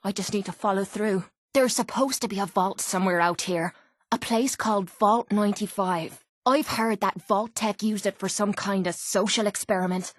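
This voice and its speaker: A woman's voice: medium pitch, no room sound, clean studio sound, natural voice. An actress playing a tough, sardonic young Irish woman, a blunt and slangy wasteland fighter in a video game. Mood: guarded, earnest. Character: tough, foul-mouthed, sardonic young female wasteland brawler companion. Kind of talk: video game character dialogue.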